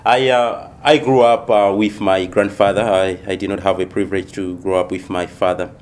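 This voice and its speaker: A man from Malawi, a missionary church planter, answering interview questions in a thoughtful, unhurried way with many pauses. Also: sits low, flat delivery, a little hiss or hum.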